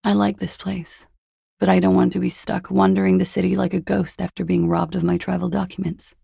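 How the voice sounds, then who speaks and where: A woman playing a cool, evasive young former beauty queen in a video game, speaking in a languid, dry, sardonic way with a husky, breathy voice. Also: fairly low pitch, little pitch movement, brisk, warm tone, dry recording, exaggerated voice. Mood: guarded. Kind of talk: video game dialogue, low-key and naturalistic.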